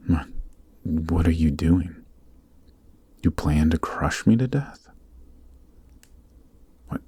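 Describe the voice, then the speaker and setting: A man playing a weary, noble Demon King in a fantasy ASMR roleplay, speaking softly and solemnly with a breathy voice. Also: exaggerated voice. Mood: bewildered, pleading. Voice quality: very deep, soft, breathy, half-whispered. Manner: slow, solemn, earnest, formal phrasing. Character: weary, noble adult male Demon King, sorrowful and gentle. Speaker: voice actor.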